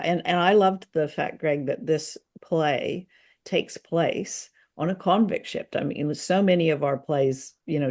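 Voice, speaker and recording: A woman co-hosting a casual discussion show, speaking in a thoughtful, halting way as she searches for words. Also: deep voice, a little mellow. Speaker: podcast co-host reviewing radio dramas.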